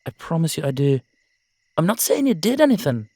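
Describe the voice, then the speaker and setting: Man playing emphatic, loud Irish rogue, dry sound. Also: a little high, sing-song, brisk, slightly rough, dry recording. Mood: earnest, insistent. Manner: emphatic, coaxing, salty-tongued. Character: loud, earnest old Irish dullahan, loyal friend. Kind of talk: audio roleplay acting.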